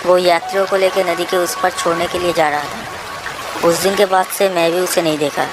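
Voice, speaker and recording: A woman plays a mild family-man boatman in a horror storybook. The talk is plain and conversational, in a register low for a woman. Noise is clearly audible behind. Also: little pitch movement, moderate pace, natural voice. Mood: concerned. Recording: noisy track with water ambience.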